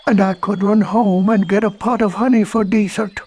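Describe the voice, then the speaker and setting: Gentle storybook bear: very high, husky, innocent, musing. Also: male voice, steady tone, moderate pace. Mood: pleased. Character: gentle, simple, hungry male teddy bear. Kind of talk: children's storybook read-aloud.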